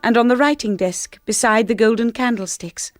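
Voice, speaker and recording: A woman's voice: fairly high pitch, normal speed, music in the background, close to an everyday voice. A woman playing a shy, anxious young wife in a radio drama, with an English accent and a hesitant, polite manner. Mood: haunted, reflective. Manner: hesitant, polite, self-effacing. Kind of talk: radio drama acting with first-person narration.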